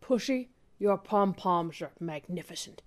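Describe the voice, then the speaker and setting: A woman's voice: on the low side, animated, unhurried, pitched below the performer's own voice. A woman playing a suave, dramatic male spy in a spoof spy play, speaking in a portentous, melodramatic way. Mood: grave, smug.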